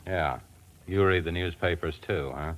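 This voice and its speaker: A man's voice: sits low, normal speed, a bit bright, rough recording. A man playing a blunt, bitter and defensive airline co-pilot in a vintage radio drama. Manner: blunt, curt. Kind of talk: 1940s-50s radio drama acting.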